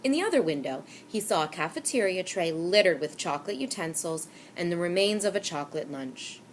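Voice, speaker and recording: A woman reading a children's novel aloud with expressive storytelling. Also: on the low side, fairly quick, a little hiss or hum. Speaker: reader.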